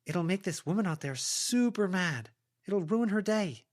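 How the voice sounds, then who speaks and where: Man putting on a high-pitched, urgent young girl witch. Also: lively intonation, measured pace. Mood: urgent coaxing. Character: Verona, bossy young girl witch, persuasive and eager. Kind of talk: dramatized audiobook character voice.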